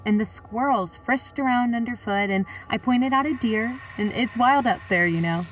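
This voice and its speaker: A woman's voice: a little high, normal speed, far from the mic, slight background noise, lightly acted, poor audio. A woman playing a plain-spoken, reflective sightseer who tells her story in a colloquial, confiding way. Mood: reflective, uneasy. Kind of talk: first-person audio drama narration.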